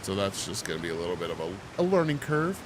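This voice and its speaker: A man's voice: deep voice, big pitch swings, fast. A man getting over a cold, sniffling as he plays a game and talks to himself, yelping at scares. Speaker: gameplay streamer. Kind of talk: casual let's-play commentary. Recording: heavy hiss over the voice.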